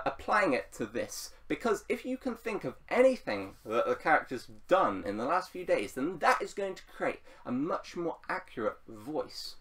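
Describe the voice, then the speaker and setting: A man's voice: fairly high pitch, a bit bright, far from the mic. A man giving casual, unscripted writing advice as a video host, rambling playfully and repeating phrases for emphasis. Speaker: YouTube writing coach and host.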